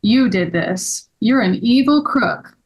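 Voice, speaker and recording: A woman's voice: medium pitch, expressive, slow pace, breathy edge, muffled recording, heavily acted. A woman playing an earnest, wronged male store owner in a scripted table read. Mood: accusing.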